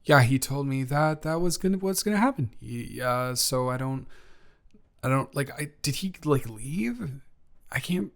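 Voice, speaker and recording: A man's voice: medium pitch, animated, measured pace, creaky. A man playing a flustered, befuddled young guy in an improvised roleplay audio, stammering and rambling as he talks to the listener. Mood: flustered, confused. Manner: stammering, rambling, awkwardly backtracking.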